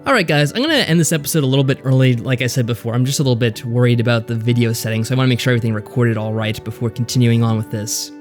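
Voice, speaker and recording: A man hosts a game playthrough in a casual, wry way, dropping sarcastic asides. His pitch is middling, and music runs behind his voice. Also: very fast, few pauses. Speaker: let's play host.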